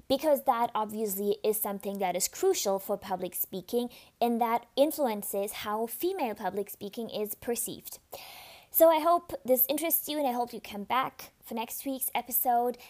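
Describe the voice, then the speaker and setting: High-voiced woman with slight vocal fry. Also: a little mellow. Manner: casual, chatty, candid, with fillers and restarts. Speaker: podcast host. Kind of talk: solo podcast monologue.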